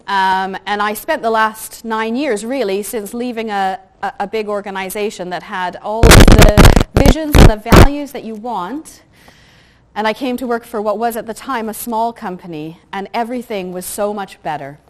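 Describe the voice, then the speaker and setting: Soft-spoken woman giving a conference talk. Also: medium pitch, normal speed, low-quality recording. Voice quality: soft, quiet voice. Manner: chatty, frequent ums and uhs, self-deprecating asides. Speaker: conference speaker; head of customer service. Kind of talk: conference talk to a live audience. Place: conference room with audience.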